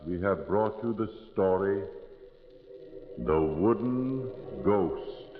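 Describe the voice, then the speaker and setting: Ominous, very slow echoing radio announcer. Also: male voice, lowish voice, animated, over music. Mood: solemn, ominous. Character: solemn, ominous announcer of eerie tales. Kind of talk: theatrical 1940s radio announcing. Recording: muffled, echoing old radio recording.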